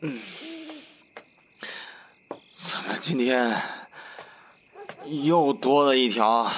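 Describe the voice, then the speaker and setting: A man acting a jittery husband, swinging pitch. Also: fairly bright tone, a little hiss or hum, heavily acted. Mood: drunken, confused. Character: drunken, frightened husband, minor official in old China. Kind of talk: costume audio drama acting. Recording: music behind the voice.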